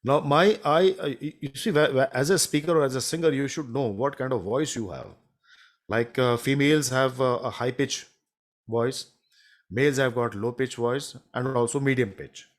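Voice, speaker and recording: A man with a baritone voice from India, giving a halting live demonstration of audio mixing in his home studio, recorded on a condenser microphone from a distance. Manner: hesitant, many fillers and restarts. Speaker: music studio instructor.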